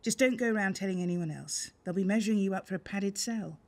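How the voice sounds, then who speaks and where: An actress playing a sarcastic, nagging, sceptical wife in a naturalistic radio soap. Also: female voice, medium pitch, fast, close to an everyday voice. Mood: sarcastic, exasperated.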